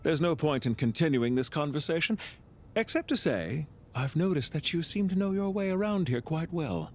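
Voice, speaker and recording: A man playing a calm, courteous, scheming villain officer in a video game, speaking in a polite, formal, measured and theatrical way. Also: on the high side, slight background noise. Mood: sly, storytelling. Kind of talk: scripted video game voice acting.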